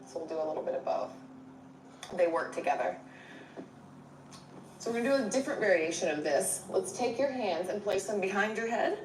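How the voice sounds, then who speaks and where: A woman's voice: medium pitch, expressive, fairly quick, long pauses, a little hiss or hum. A woman guiding a yoga class as instructor, giving encouraging, step-by-step cues. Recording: echoey room, distant mic, music behind. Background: music.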